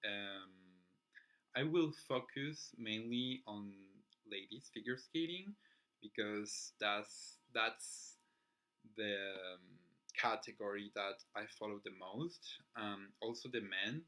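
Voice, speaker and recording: A young Chilean man in his twenties speaks English as a second language, and his Spanish accent colors the words. His voice sits a bit low yet comes across bright and forceful. He talks in a relaxed vlog manner, often stopping on fillers and starting his sentences again. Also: takes it slowly. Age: 20s. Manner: chatty, many fillers and restarts, occasional laughs. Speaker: figure skating vlogger. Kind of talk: casual channel introduction.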